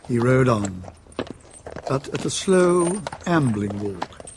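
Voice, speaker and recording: A man narrating a radio drama in a measured, deliberate storytelling manner. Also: mid-range voice, very animated, background noise.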